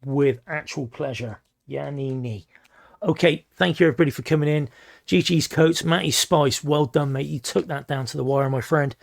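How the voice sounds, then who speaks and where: A hoarse man, commentating a competitive video game match with excited, swearing energy. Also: a little high, normal speed. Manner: energetic, swears freely, laughs often. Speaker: esports shoutcaster.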